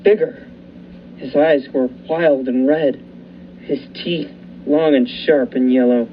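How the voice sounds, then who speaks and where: A young man voicing a lighthouse keeper who narrates a suspenseful radio drama in a measured, dramatic way with lingering pauses. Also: medium pitch, fairly even intonation, lightly acted. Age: young adult. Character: male lighthouse keeper recounting a nightmare. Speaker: student actor. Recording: muffled with faint hiss.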